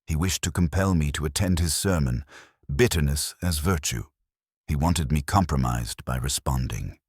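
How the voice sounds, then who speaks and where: Man playing a very deep, stern, erudite detective. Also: normal speed, slightly rough, dry recording, clean studio sound, exaggerated voice. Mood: cutting. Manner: formal, precise, cutting. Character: stern, erudite male detective, disdainful and exacting.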